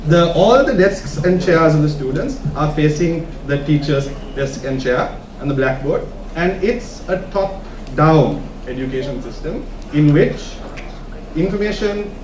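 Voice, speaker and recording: A man with a Sri Lankan accent giving an informal conference talk, often checking in with his listeners with short questions. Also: fairly high pitch. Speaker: conference speaker. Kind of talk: informal presentation to an audience. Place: conference room, talk to a live audience. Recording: echoey room from far off, noisy.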